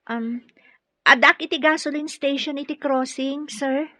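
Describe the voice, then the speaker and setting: A woman's voice: high voice, fairly even intonation, unhurried, bright, forceful tone, no room sound. A woman acting as a humble, flustered young female employee, deferential and hesitant, in an Ilocano radio drama. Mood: polite, hesitant.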